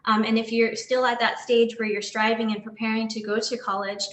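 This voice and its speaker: Bright higher-pitched woman from new york giving a slide talk, lots of ums, echoey room. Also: flat delivery, brisk. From Long Island, New York. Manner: earnest, many ums and restarts. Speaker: guest dentist giving a talk. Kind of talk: presenting slides to an online audience.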